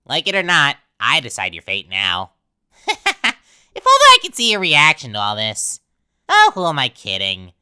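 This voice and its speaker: A woman's voice: a bass voice, wildly swooping pitch, normal speed, sharp tone, smooth, exaggerated voice. A woman voicing a manic, childish talking flower villain from a video game, theatrical and mocking, swinging between cutesy and menacing. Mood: menacing, grandiose, then gleeful, taunting. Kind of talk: dramatic game villain monologue voice acting.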